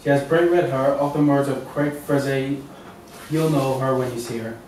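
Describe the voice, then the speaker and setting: Anxious client in a detective thriller, male actor: mid-pitched voice, table read, distant mic, heavy room echo. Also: steady tone, normal speed, darkish tone, natural voice. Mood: earnest. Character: Paul Watts, worried well-groomed man seeking missing partner. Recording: very roomy, distant mic, faint noise.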